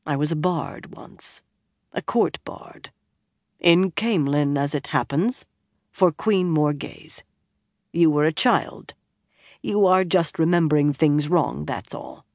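A woman voicing a gruff older male bard who speaks low, soft and weary. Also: slow, no room sound, clean studio sound. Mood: stern.

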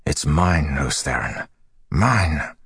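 A grim, weary hero on the edge of madness, voiced by a man narrating an audiobook. He has a very deep, creaky voice, held under control and turning to a clipped growl. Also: expressive, takes it slowly, a bit bright, no room sound, studio quality, full character voice. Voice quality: low, gravelly.

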